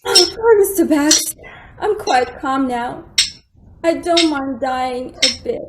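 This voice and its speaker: A woman's voice: sits high, lively intonation, slow pace, heavy background noise. An actress playing a tender, fearful young woman in a radio drama, speaking in a plaintive, melodramatic way. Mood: resigned, calm.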